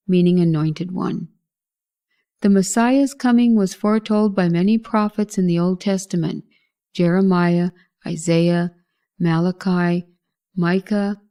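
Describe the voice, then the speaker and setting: A woman's voice: mid-range voice, dark tone. A woman reading a scripted narration of Bible history as a narrator.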